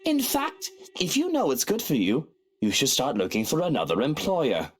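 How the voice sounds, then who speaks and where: An actor playing a haughty, wealthy lord of a manor in a video game, speaking in an imperious, formal manner. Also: male voice, on the high side, huge pitch swings, normal speed. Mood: indignant.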